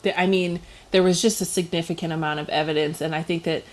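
A woman co-hosts a casual podcast. Her voice sits a bit low for a woman. She rambles in a loose, giggly way, full of fillers. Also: steady tone, fast. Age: 30s. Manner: chatty, giggly, heavy on fillers. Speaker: podcast co-host. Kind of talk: casual chat.

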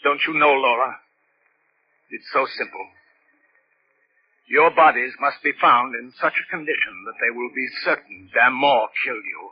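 An actor playing a desperate, scheming murderer in intense, melodramatic 1940s radio style. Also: male voice, medium pitch, moderate pace, pauses often, husky, close to an everyday voice. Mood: cold scheming. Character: desperate male murderer, cold schemer turning panicked. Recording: noisy vintage radio recording, muffled.

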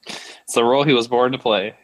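A man with an average-pitched voice chats casually as a podcast co-host. He riffs playfully in short quips, and the sound is close and dry with little room in it. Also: fairly even intonation, fairly quick, dry recording, rough recording. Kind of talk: casual joking chat.

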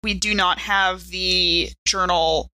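A woman's voice: fairly low pitch, fairly even intonation, slow pace, sharp tone, dry recording, rough recording. A woman chatting casually as a player in a tabletop game session.

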